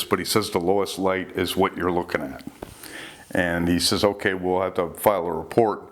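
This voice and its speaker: A man with a low voice hosts an informal storytelling talk. He recounts incidents conversationally, relaying what was said in plain reported speech. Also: fairly bright tone. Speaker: host recounting UFO reports.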